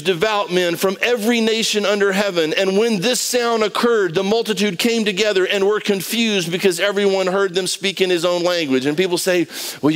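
Male preacher, higher register, punchy and colloquial, conversational sermon delivery. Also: a bit bright. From Brazil. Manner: emphatic, colloquial, acts out short dialogues. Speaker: preacher, pastor.

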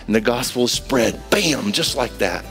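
A man's voice: medium pitch, lively intonation, normal speed, gravelly, fairly bright tone, soft background music. A man from Brazil preaching in an emphatic, colloquial style, acting out bits of dialogue as he goes. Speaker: preacher, pastor. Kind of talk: animated conversational sermon.

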